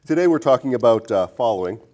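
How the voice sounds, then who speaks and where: A man's voice: medium pitch, lively intonation, fast, darkish tone. A man hosting a casual podcast chat, with a jokey way of talking. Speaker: podcast host.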